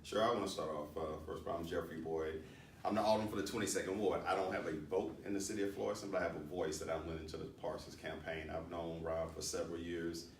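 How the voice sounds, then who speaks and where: A man's voice: deep voice, steady tone, fast talker. A man delivering a political endorsement in an assured, declarative way. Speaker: alderman, campaign supporter. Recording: echoey room, distant mic, faint noise.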